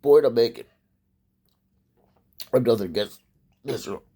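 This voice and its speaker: A man's voice: medium pitch, a little slow, warm tone, breathy edge. A man from Maryland, USA, delivering a profane, rambling casual monologue as a vlogger.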